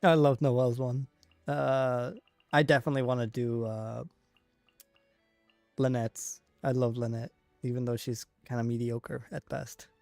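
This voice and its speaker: A man giving casual live commentary on a video game, rambling and halting as he thinks aloud. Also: mid-range voice, level tone, creaky, darkish tone, no echo, soft background music. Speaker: game streamer.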